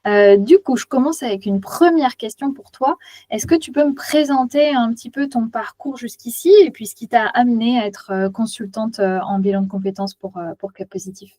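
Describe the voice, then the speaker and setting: A woman's voice: fairly high pitch, moderate pace, dark tone, dry recording. A woman interviewing a coach, asking friendly questions with plenty of fillers.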